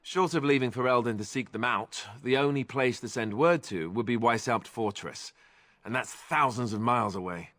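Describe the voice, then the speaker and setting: A man's voice: medium pitch, normal speed, a bit bright, dry recording, close to an everyday voice. A man acting as a young warrior companion in a fantasy game. He speaks plainly and earnestly, with a dry sarcastic edge, in a close, dry studio sound. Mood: earnest, deferring. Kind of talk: fantasy video game dialogue.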